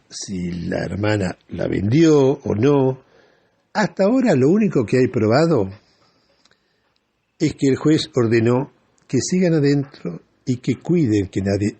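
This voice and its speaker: A man with a Rioplatense Argentine accent hosting a radio show in a reflective, halting and deliberate monologue. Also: medium pitch, dark tone, breathy edge.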